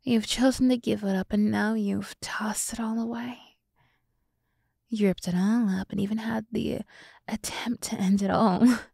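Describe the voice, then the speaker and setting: Woman playing a breathy, slow-talking sultry demoness. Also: medium pitch, no echo, clean studio sound, full character voice. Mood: mock pity. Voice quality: soft, breathy, half-whispered. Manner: slow, deliberate, condescendingly soothing. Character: sultry, teasing demoness summoned to serve a human. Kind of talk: ASMR roleplay. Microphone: close microphone.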